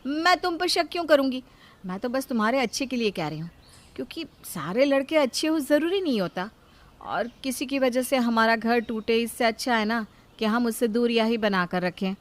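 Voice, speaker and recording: A woman's voice: on the high side, expressive, moderate pace, close to an everyday voice. A woman voicing a caring, self-sacrificing elder sister-in-law in a story, pleading and conciliatory. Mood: reassuring, pleading.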